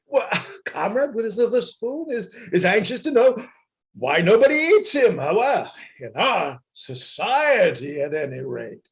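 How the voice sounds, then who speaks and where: A man playing a flustered, excitable anarchist orator in an amateur radio play reading, stammering and halting theatrically over a video call. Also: high voice, vocal fry, heavily acted. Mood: nervous oratory.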